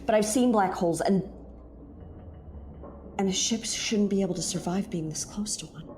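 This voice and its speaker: A woman's voice: mid-range voice, normal speed, darkish tone, a little hiss or hum, lightly acted. A woman playing a nervous, sometimes sarcastic young space explorer in an audio drama, hesitant and uneasy in her delivery. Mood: awed, uneasy.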